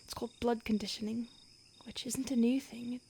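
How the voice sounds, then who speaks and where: Ancient secretive vampire woman, voiced by a woman, hushed whisper throughout, higher-pitched, faint insect noise behind. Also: little pitch movement, fairly quick, creaky. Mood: hushed urgent. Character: ancient female vampire on the run, secretive, urgent. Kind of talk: audio roleplay.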